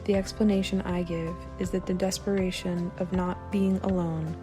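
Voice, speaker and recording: A woman's voice: fairly low pitch, little pitch movement, normal speed. A woman reading her own personal essay aloud as scripted, first-person narration. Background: music.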